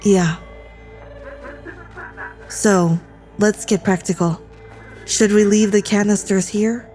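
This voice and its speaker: Woman playing scared yet defiant crew member, sci-fi audio drama, blunt, unhurried, light music behind. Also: medium pitch, fairly even intonation, warm tone, close to an everyday voice. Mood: grim, resolute.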